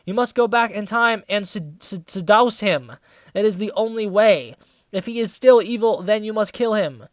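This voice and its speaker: A performer reading the part of a grave, mystical female witch professor in a solemn, halting way. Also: mid-range voice, no echo, lightly acted.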